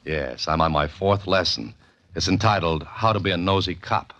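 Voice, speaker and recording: An actor playing a cool, wisecracking amateur sleuth in a 1940s radio mystery, with a deadpan, clipped delivery. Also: male voice, low-pitched, normal speed. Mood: wry, brisk. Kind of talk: 1940s radio mystery acting, first-person narration. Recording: muffled old radio recording with music.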